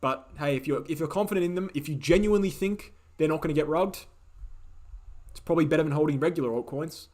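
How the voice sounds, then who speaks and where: A man hosting a live question-and-answer stream, speaking in a casual, opinionated way, repeating key phrases for emphasis, his voice slightly croaky. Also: a little high, quick pace, a little mellow. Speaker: host answering viewer questions. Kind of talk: casual livestream Q&A.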